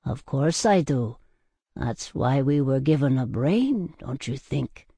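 A man's voice: medium pitch, animated, takes it slowly, gravelly, mellow, slightly rough, no echo, exaggerated voice. A man voicing a cheeky, tipsy drunkard who is indignant and argumentative, in an audiobook style. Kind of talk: audiobook character acting.

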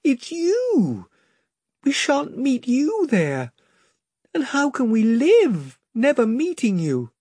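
A man's voice: very high-pitched, huge pitch swings, slow, a little mellow, breathy edge, no echo, clean studio sound, voice pushed up, full character voice. A man doing a pleading young girl. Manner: pleading and eager. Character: earnest young English schoolgirl.

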